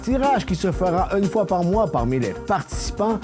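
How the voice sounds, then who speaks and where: A man, reading a station promo in an upbeat sales-pitch style. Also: sing-song, moderate pace. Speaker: promo announcer. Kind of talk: station promo read over music. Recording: music bed behind voice. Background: music.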